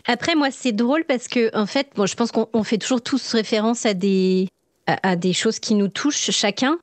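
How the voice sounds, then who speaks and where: A woman's voice: fairly high pitch, moderate pace, no echo. A woman interviewing as a podcast host in a casual, informal way with tentative phrasing.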